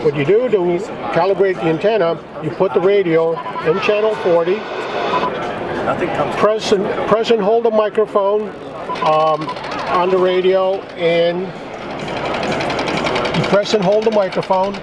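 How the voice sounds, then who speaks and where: A man's voice: high-pitched, fairly even intonation, unhurried, pauses often. A man from a radio company giving a step-by-step product demonstration on a busy trade show floor, explaining settings with some restarts. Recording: loud crowd and noise. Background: loud crowd chatter.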